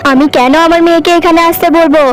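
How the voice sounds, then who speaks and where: A woman's voice: very high-pitched, steady tone, fast talker. A woman voicing a haughty, snobbish neighbor woman in a cartoon who hurls insults. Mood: contemptuous. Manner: haughty, contemptuous, shouting insults. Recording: faint background music.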